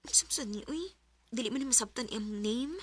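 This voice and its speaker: An actress playing a lovesick young female student in a radio drama, often musing in hushed whispers. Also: on the high side, normal speed, breathy edge. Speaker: lead character and narrator. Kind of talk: Visayan radio drama acting.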